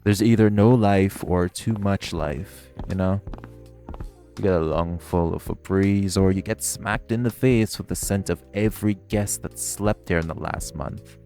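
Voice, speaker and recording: Male actor as centuries-weathered male vampire, very low pitch, heavy vocal fry, cocky, teasing, flirtatious menace, intimate audio drama. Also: unhurried, dark tone, faint music behind. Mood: disgusted, wry. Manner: smug, taunting, drawling, playfully menacing.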